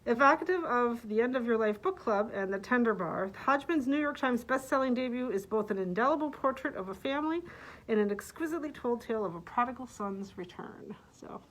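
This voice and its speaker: Higher-voiced woman librarian presenting books. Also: expressive, brisk, low-quality recording. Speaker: librarian presenting book picks. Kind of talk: book summaries read aloud with casual asides.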